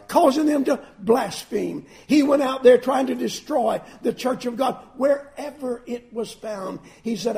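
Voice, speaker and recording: Gravelly, slow-preaching man. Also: high voice. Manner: animated preaching, swinging between loud emphasis and soft drawn-out phrases; folksy asides and humor. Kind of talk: sermon.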